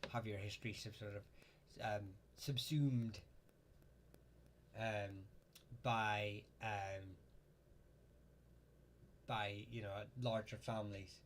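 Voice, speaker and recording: A man hosting a casual live Q&A, answering viewer questions in a halting way with lots of ums and uhs. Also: on the low side, steady tone, a little hiss or hum.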